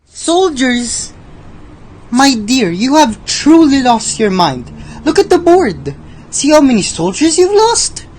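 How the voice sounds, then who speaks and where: A woman's voice: high voice, sing-song, takes it slowly, darkish tone, a little hiss or hum, exaggerated voice, poor audio. A woman playing Death as a sly, scornful gambler in a radio drama, with a theatrical, condescending delivery. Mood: haughty, mocking.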